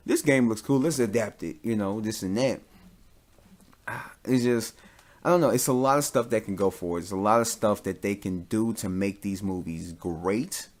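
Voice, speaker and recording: A man talking casually as a podcast host, giving opinions in an informal, rambling way and often repeating himself. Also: lowish voice.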